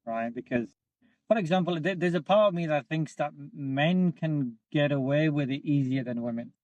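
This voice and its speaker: A man's voice: a little high, darkish tone, dry recording, muffled recording. Thoughtful man in a podcast chat. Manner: thoughtful, measured, with fillers. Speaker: podcast co-host. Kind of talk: casual podcast discussion.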